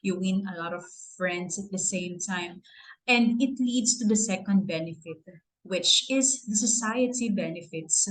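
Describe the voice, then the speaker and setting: A woman's voice: on the low side, a little slow, poor audio. A woman from the Philippines with a Filipino accent, a native Tagalog speaker, gives an earnest motivational talk and keeps asking rhetorical questions. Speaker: guest speaker.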